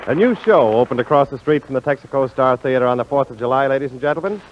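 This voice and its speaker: A man's voice: medium pitch, animated, quick pace, slight background noise. Man announcing on dull 1940s radio.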